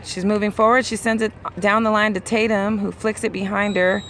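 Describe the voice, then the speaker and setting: A woman doing play-by-play of a youth soccer game outdoors, hoarse and losing her voice. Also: medium pitch. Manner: rapid play-by-play, rising excitedly at key plays. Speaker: amateur sideline commentator. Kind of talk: play-by-play commentary. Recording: outdoor crowd and traffic noise.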